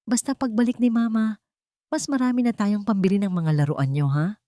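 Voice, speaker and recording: Young mother acted by a woman storyteller, gentle, reassuring, raised pitch, dry drama sound. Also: moderate pace, no echo, lightly acted. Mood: tender reassurance. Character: tender young mother soothing her child.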